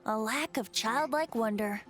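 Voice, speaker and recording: A woman's voice: on the high side, measured pace, fairly bright tone, soft background music. A woman voicing a playful, airy youthful bard with a teasing, musing manner in an anime game.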